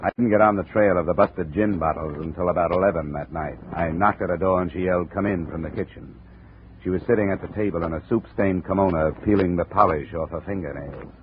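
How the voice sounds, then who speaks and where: A man acts a hard-boiled investigator with a low voice and quick, clipped delivery. He is dry and businesslike, as in a vintage radio crime show. The recording is dull and slightly noisy. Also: close to an everyday voice. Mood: wry narration. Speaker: lead detective and narrator. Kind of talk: 1950s radio detective drama, dialogue and narration.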